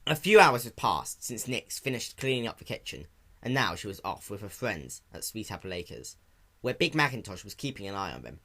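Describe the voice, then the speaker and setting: A man's voice: fairly low pitch, very animated, brisk, a bit bright. A man reading a story aloud as narrator, in a scripted, dramatic style.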